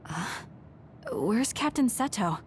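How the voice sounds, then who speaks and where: A woman's voice: mid-range voice, big pitch swings, slow, a little hiss or hum. An actress playing a cool, blunt, guarded young female military recruit in a video game. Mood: mild. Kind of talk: English video game dub acting.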